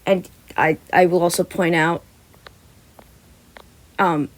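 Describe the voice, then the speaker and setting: A woman's voice: lowish voice, steady tone, slow pace. A young woman who chats casually and reads a story aloud in an informal amateur way, sometimes tripping over words and correcting herself. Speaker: podfic reader and channel host. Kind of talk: casual chatty intro and amateur story narration.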